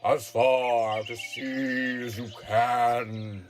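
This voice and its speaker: A man playing a mournful, sighing turtle creature in an audiobook drama, with slow, sorrowful delivery. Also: medium pitch, flat delivery, soft background music. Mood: wistful. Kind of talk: scripted audiobook character acting.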